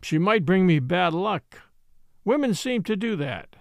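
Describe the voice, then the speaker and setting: A woman voicing a bitter, sardonic English gentleman with a clipped, dry, mocking manner, in a storybook reading. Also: on the low side, sing-song, a little slow, dry recording. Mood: dry, reflective.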